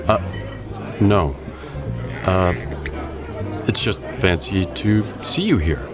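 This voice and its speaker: A man acting as a young, wealthy secret hero in an audio drama. His low voice moves freely in pitch, casual and smooth, with music underneath. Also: slow, a crowd in the background. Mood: charming. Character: young wealthy masked vigilante posing as an easygoing socialite. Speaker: voice actor.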